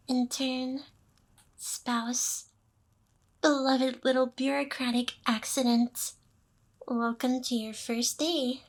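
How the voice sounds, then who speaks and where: A smug, possessive demoness boss, played by a woman in an intimate ASMR roleplay. Her voice sits a little high and moves very slowly, lingering in seductive pauses. She teases like an ancient ruler who is used to owning whoever is listening. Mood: intense, possessive. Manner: slow, teasing, seductive delivery with pauses.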